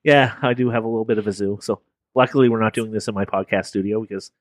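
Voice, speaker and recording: A man's voice: lowish voice, steady tone, fast talker, dry recording. A man hosting a food podcast, casually interviewing guests at a film festival, upbeat and laughing often. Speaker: podcast host.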